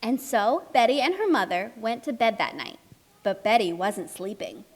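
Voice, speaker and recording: A woman's voice: fairly high pitch, expressive, normal speed. A woman playing a friendly, curious narrator in a children's puppet show, telling the story expressively and chatting with characters. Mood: storytelling.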